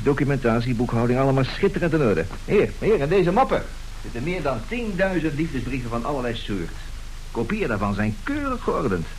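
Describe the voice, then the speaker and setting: Een acteur die in een hoorspel een ijdele, sluwe huwelijkszwendelaar van middelbare leeftijd speelt, theatraal en hoogdravend. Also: male voice, mid-range voice, darkish tone, natural voice, low-quality recording. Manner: theatrical, pompous, declaiming. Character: scheming middle-aged marriage swindler, vain, grandiose, smooth-talking. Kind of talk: Dutch radio play acting. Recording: hissy old radio play recording.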